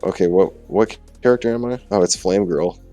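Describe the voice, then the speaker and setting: Low-voiced man bantering over game music. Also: brisk, dark tone. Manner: joking, self-mocking. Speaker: gaming channel co-host. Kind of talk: casual banter during gameplay.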